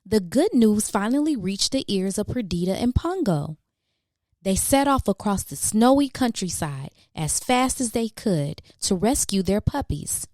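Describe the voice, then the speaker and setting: A woman reads a children's story aloud. Her voice is soft-edged and mellow with very animated intonation and measured dramatic emphasis, recorded close and dry. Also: medium pitch, dry recording. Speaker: children's book reader. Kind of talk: expressive storybook narration.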